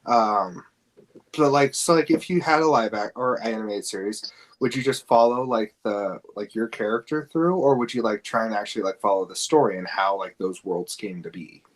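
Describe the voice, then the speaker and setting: A man's voice: fairly low pitch, normal speed. A man hosting a podcast in casual conversation, posing questions and feeling out his phrasing. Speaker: podcast host.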